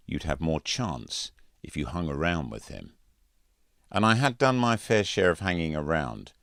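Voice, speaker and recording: Deep-voiced man reading a novel. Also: creaky, a bit bright, dry recording, studio quality. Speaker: author reading own audiobook. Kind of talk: scripted first-person narration, literary audiobook.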